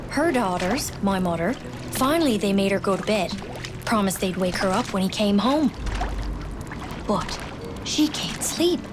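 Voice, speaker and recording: A woman's voice: mid-range voice, close to an everyday voice. A woman playing a grieving Newfoundland wartime nurse. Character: grieving wartime nurse, sister of a fallen soldier. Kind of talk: dramatic game cutscene storytelling.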